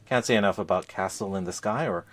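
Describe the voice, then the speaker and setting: A man's voice: low-pitched, fast talker. A man hosting a podcast in casual chat, phrasing his thoughts in a hesitant, thoughtful way. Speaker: podcast host.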